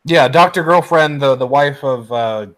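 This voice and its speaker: A man's voice: mid-range voice, moderate pace, a bit bright. A man co-hosting a casual podcast, blunt and teasing, with a lot of swearing. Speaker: podcast co-host. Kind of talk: casual chat.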